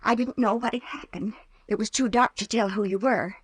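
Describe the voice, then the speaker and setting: Scared young girlfriend in old radio play, highish voice, pleading, dry sound. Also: moderate pace, husky, dry recording. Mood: shaken, insistent. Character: frightened, pleading young woman, a college boy's girlfriend. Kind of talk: 1940s radio acting. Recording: old-time radio drama recording.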